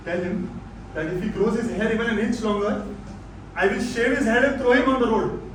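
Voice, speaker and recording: A man's voice: high-pitched, brisk, close to an everyday voice. A man with an Indian accent playing a stern, controlling old father-in-law in a stage play, authoritative and disapproving. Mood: stern, suspicious. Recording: very echoey, distant stage recording with noise.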